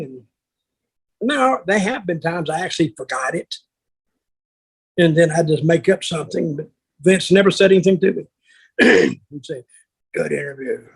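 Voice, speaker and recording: An older man with a raspy voice tells wrestling stories casually as a veteran, bluntly and with salty language. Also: high voice. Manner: blunt, salty, acts out remembered exchanges. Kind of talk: casual anecdotal storytelling.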